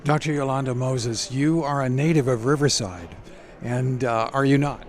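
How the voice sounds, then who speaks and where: A man's voice: mid-range voice, moderate pace. A man conducting a radio news interview outdoors on a campus, asking polite, admiring questions.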